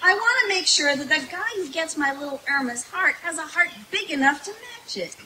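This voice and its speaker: A woman's voice: high voice, expressive, brisk, sharp tone, slight background noise, full character voice, rough recording. An actress playing a wry, affectionate young woman in an old-time radio sitcom. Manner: wry, sardonic. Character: Jane, wisecracking, affectionate roommate, young woman. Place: outdoor stage in the woods.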